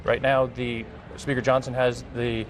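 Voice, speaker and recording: A man's voice: mid-range voice, little pitch movement, measured pace, a little hiss or hum, rough recording. A middle-aged man with an American accent answering earnestly as a guest in a news interview, repeating phrases for emphasis. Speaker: interview guest, congressional candidate and former air traffic controller.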